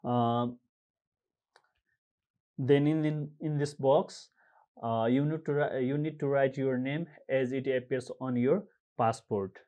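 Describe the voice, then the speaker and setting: A man from Nepal narrates a screen-recorded tutorial, moving at an unhurried, deliberate pace. He fills gaps with frequent uh and um and reads letters and numbers out one by one. His voice sits at an ordinary middle pitch, close to a desktop mic. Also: steady tone, dark tone. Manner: halting, frequent uh and um fillers, reads out codes slowly. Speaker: tutorial presenter. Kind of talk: screen-recorded how-to walkthrough.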